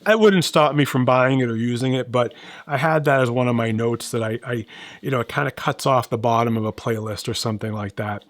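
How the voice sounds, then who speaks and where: A middle-aged man talks through a gadget review in a casual, measured way. His voice sits at a medium pitch and addresses the viewer directly. The sound is dry, with very little room on it. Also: dry recording. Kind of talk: casual conversational product review.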